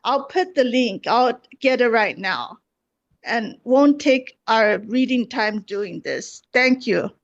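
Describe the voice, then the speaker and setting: Woman poet, high voice, unhurried and deliberate, slightly muffled online call sound. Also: expressive, vocal fry. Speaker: poet at an open mic. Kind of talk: poetry reading and brief casual remarks. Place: online video gathering.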